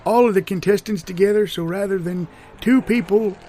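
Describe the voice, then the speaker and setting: A man acts out a folksy small-town fellow with a slightly high male voice. He rambles earnestly and haltingly through fond memories in an improvised absurd comedy, with some noise behind him. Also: dark tone, close to an everyday voice. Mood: folksy, nostalgic. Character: folksy small-town man fondly reminiscing about odd local events.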